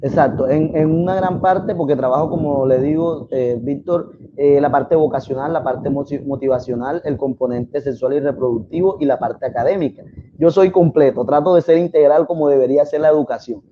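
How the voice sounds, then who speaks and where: Guest, 20s man, steady earnest explainer; muffled video-call audio. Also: fairly high pitch, a little fast. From Colombia. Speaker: guest biologist and sex educator. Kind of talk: interview answers on a video call. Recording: slightly dull sound with faint noise.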